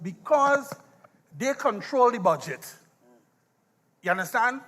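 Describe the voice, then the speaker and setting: A man's voice: extremely high pitch, lively intonation, slow pace, fairly bright tone, full character voice. A man acting an argumentative, persuasive Tobagonian in a live stage drama, with a Trinidad and Tobago accent. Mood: aggrieved. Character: argumentative Tobagonian man defending self-governance.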